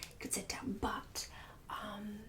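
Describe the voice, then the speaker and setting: A woman's voice: medium pitch, completely flat delivery, slow, recorded from a distance, lightly acted. A woman playing a snobbish, bossy suburban book club hostess in an ASMR roleplay, condescending and catty with fake-sweet insults. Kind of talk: ASMR roleplay, whispered and soft-spoken.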